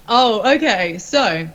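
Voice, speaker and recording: A woman's voice: fairly high pitch, fairly bright tone, muffled recording. A woman chatting casually as an interview guest, with an informal, rambling, giggly manner. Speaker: rock band singer interviewed as guest. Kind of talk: casual chat.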